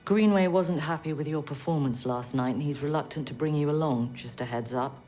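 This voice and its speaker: An actress playing an intelligence chief in a video game, formal, dry and authoritative. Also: female voice, sits low, fairly quick, dark tone, soft background music, lightly acted. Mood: businesslike, commanding. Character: authoritative, dryly commanding female British spy chief. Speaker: intelligence chief briefing agents. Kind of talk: video game cutscene acting.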